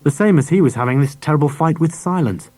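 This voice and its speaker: A man's voice: on the high side, quick pace, darkish tone, no echo. A man reading a children's story aloud as a scripted audiobook narration. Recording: dry cassette audiobook transfer.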